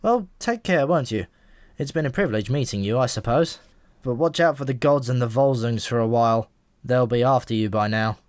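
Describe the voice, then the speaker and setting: A man's voice: medium pitch, moderate pace, darkish tone, dry recording, close to an everyday voice. A man voicing a chatty, world-weary pigeon in an audiobook, talking in an offhand, frank and matter-of-fact way. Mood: offhand, advising. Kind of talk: audiobook character voice.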